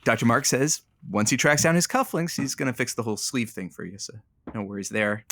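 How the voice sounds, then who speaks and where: Radio producer in an audio comedy: teasing, casual, bright voice, lively swings in pitch. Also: male voice, brisk, a little hiss or hum. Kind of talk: scripted audio comedy banter.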